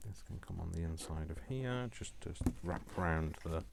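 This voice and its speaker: A man's voice: deep voice, normal speed, vocal fry, noisy background. A British man hosting a casual craft tutorial, chatting in a rambling, jokey way as if to himself. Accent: British English.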